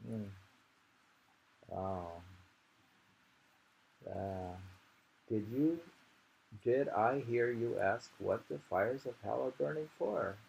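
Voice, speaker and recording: A man talks very slowly in a fry-laden voice, casually sharing and musing on poetry. He meanders from thought to thought, with some background noise on the amateur recording. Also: medium pitch, darkish tone, poor audio. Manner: rambling, meandering, musing aloud. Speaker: poetry reader and host.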